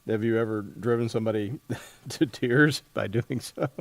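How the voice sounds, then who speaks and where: A man hosting a casual book interview, speaking in a halting way as he thinks aloud. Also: mid-range voice, dry recording. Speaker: podcast host interviewing an author.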